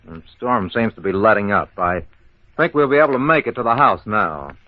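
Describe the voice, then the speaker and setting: An actor playing a stern, quietly authoritative police inspector in a radio mystery, formal and deliberate in manner. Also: male voice, lowish voice, expressive, lightly acted. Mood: polite, measured. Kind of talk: vintage radio mystery acting. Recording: narrow phone-like old radio sound.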